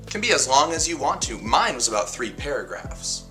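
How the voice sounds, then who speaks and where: A man reading a picture book aloud as a host, in an expressive storybook style with dramatic, deliberate phrasing and emphatic pauses. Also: medium pitch, faint music behind.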